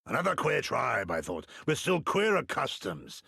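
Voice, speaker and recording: An actor playing an earnest, proud samurai in a video game, speaking formal archaic lines with dramatic pauses. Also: male voice, mid-range voice, wildly swooping pitch, gravelly, bright, forceful tone, breathy edge, dry recording, clean studio sound, full character voice. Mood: reflective, wry. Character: earnest, theatrical samurai warrior, proud and inquisitive.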